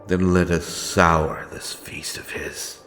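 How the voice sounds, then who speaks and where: A man playing a grim, righteous wandering avenger in a dark fantasy audio drama, speaking in a solemn, archaic and deliberate way. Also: deep voice, flat delivery, a little hiss or hum. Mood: tense, grave.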